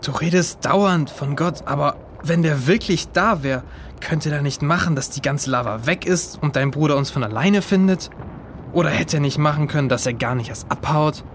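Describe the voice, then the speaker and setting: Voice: mid-range voice, moderate pace, slight background noise, lightly acted. A young performer acting a blunt, sceptical boy in a German children's radio play. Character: blunt, sceptical boy, often thinking of snacks.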